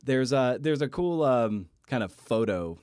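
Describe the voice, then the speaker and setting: A man hosting a conversational literary podcast, asking halting, rambling questions and often repeating words as he goes. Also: medium pitch, lively intonation, a little mellow, clean tone, dry recording, studio quality. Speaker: podcast host and interviewer.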